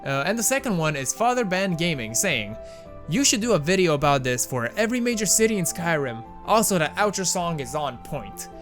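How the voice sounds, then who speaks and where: Young male host, Romanian accent, animated slightly high delivery, self-mocking asides, faint music under. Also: fairly quick. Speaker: gaming video host. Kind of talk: casual game mod commentary voice-over.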